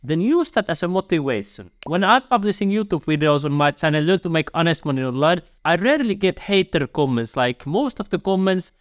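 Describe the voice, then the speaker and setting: A man's voice: fairly high pitch, expressive, fairly quick, few pauses, dry recording, clean studio sound. A man with a Finnish accent, a YouTube coach giving earnest step-by-step advice straight to the viewer, calling them "my friend". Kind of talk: advice video to camera.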